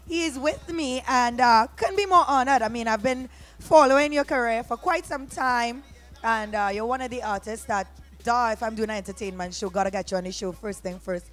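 A young woman hosts an interview, sounding enthusiastic and admiring and often chiming in with quick affirmations. Her voice sits a little high. She speaks into a handheld mic in a small lounge set. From Trinidad and Tobago. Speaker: host. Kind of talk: casual interview chat.

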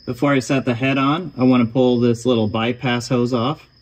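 A man talks through a repair step by step. His voice sits at an ordinary middle pitch, and his delivery is calm and matter-of-fact. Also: normal speed. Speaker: host of a car restoration channel. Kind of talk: voice-over narration of a repair.

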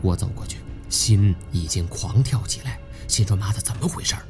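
An actor playing a young male tomb adventurer who narrates an audio drama in an uneasy, suspenseful, expressive way. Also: on the low side, brisk, close to an everyday voice. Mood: tense. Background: background music.

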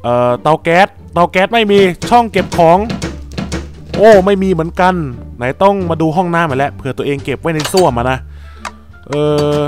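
A man with a slightly high voice narrates his game character's adventure. He speaks in an excitable way, full of exclamations. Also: normal speed, music in the background. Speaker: gaming channel host and voice actor.

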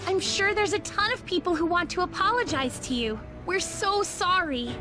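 Very high-voiced apologetic schoolgirl. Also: moderate pace. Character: apologetic teenage schoolgirl, contrite and earnest. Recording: music behind the voice.

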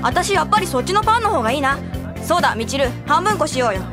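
A woman playing a perky, wheedling, gossipy high school girl in an anime audio drama. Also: big pitch swings, racing, music in the background.

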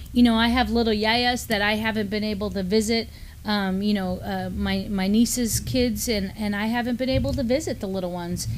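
A middle-aged woman with an American accent co-hosting a casual news discussion, speaking conversationally and a little haltingly. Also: mid-range voice, slight background noise. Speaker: news show co-host. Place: indoor studio at a table.

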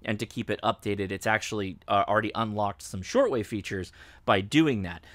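A man's voice: on the low side, normal speed, fairly bright tone, no echo. An American man in his thirties giving a casual, explanatory product review and demonstration. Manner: informal, explanatory. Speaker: host, radio reviewer. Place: indoors, home radio studio and workbench.

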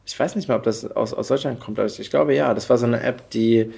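A man hosts a relaxed podcast conversation. His voice is husky and mid-pitched. He talks informally, in everyday colloquial language. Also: fast talker. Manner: informal, chatty, uses colloquial slang. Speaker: podcast host. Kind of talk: casual podcast chat.

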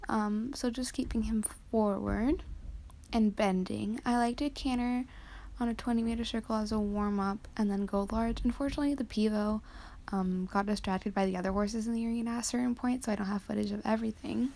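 Soft-spoken teen girl with gravelly fry. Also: a little high, fairly even intonation, brisk. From Canada. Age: teens. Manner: soft, hesitant with frequent ums. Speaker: vlogger. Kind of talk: voice-over commentary on riding footage.

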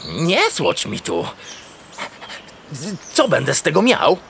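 A man voicing a slow-witted, wary farmyard dog in a children's audio drama, with a hesitant, grumbling delivery. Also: fairly high pitch, huge pitch swings, creaky, noisy background, heavily acted. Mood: wary, bargaining.

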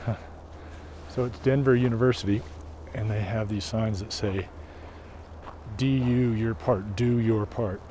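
A man's voice: fairly low pitch, fairly even intonation, a little slow, pauses often, mellow. A man narrating casually while walking outdoors across a snowy campus, musing aloud and unsure of building names. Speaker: walking tour guide. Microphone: camera carried while walking. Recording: outdoor wind and traffic noise. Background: wind and street noise.

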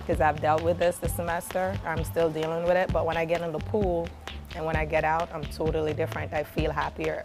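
A woman talks candidly and quietly about coping through swimming. Her voice is very low and flat, with music playing clearly beneath. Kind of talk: interview.

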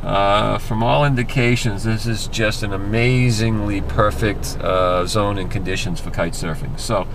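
A man's voice: fairly low pitch, steady tone, measured pace, few pauses. A middle-aged man narrating a travel vlog while he drives, chatty and wry with plenty of fillers. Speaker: travel vlogger. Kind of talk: casual narration while driving. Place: inside a moving car. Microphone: camera held inside the car. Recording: loud car noise on the camera mic. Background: loud road and engine noise.

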